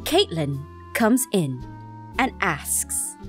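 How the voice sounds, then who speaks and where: A woman's voice: fairly low pitch, very animated, drawn-out words, soft background music. A woman hosting and narrating fan fiction in a playful storybook style, teasing and theatrical. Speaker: host and narrator.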